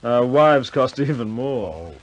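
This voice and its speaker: An actor playing a composed, dryly friendly RAF commander, understated and a little hesitant. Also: male voice, mid-range voice, expressive, takes it slowly, lo-fi sound. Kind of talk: 1950s British war film acting.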